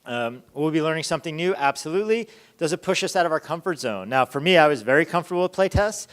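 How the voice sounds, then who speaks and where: A man's voice: on the high side, lively intonation, fast talker, fairly bright tone. A man presenting an informal conference talk as a research lead, conversational, often checking in with rhetorical questions.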